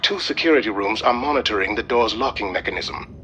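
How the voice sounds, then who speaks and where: A man playing a businesslike mission-support guide, giving a brisk, informative briefing in a video game. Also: on the low side, expressive, background noise, lightly acted. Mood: focused.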